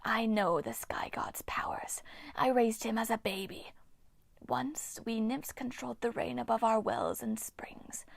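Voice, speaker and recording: A woman voicing a bitter, ancient female water nymph in a slow, hissing whisper. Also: a little high, steady tone, vocal fry, no echo, full character voice. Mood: menacing.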